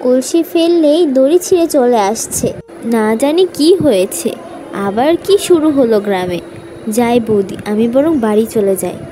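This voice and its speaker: A woman's voice: fairly high pitch, expressive, normal speed, over music, close to an everyday voice, rough recording. A woman voicing a gossipy, fearful village neighbour in a cartoon, confiding and cautioning. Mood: uneasy. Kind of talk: cartoon voice acting.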